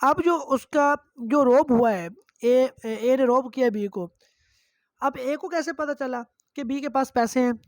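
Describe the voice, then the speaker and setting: A woman's voice: sits high, slow pace, no echo, clean studio sound. A woman with a Pakistani accent lecturing on law, reading out phrases and explaining them didactically, switching between two languages and repeating herself. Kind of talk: lecture reading statute in English and explaining it line by line.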